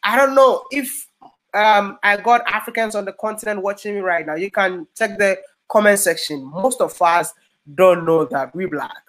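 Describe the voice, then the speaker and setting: A man's voice: sits high, moderate pace, fairly bright tone. Earnest young man from Ghana. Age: young adult. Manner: earnest, eager to cut in. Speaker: host. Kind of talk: casual discussion.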